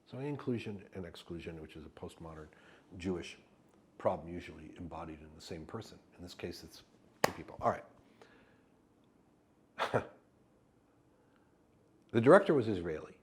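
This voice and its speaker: A man, a Yiddish-speaking actor, tells an animated story in an oral history interview and acts out the dialogue he recounts. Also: sits low, normal speed, pauses often, slight background noise.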